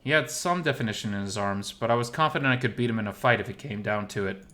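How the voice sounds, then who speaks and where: A man reading a first-person visual novel story as a narrator, in a measured, expressive way. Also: lowish voice.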